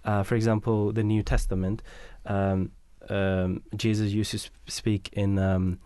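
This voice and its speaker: A man's voice: lowish voice, level tone, a little slow, mellow, clear, resonant tone, no room sound. A man whose mother tongue is Bangla explains his points at length in a casual radio discussion, with many fillers and restarts.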